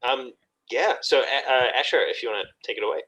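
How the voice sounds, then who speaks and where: A man hosting a poetry open mic in casual chat, handing over between readers in a halting, hesitant way. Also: mid-range voice, sharp tone, breathy edge, rough recording.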